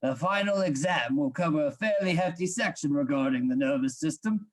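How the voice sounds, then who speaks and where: An actor playing a stern, secretive anatomy lecturer in a radio play, formal and instructing. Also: male voice, fairly high pitch, lively intonation, a little fast, lightly acted. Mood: lecturing.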